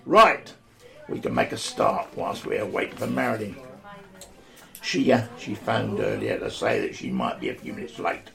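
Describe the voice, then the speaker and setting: A man playing an old pensioner ringleader, bossy and chatty, who slips into rough slang. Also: fairly low pitch, huge pitch swings, moderate pace, a bit bright, heavily acted. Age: older.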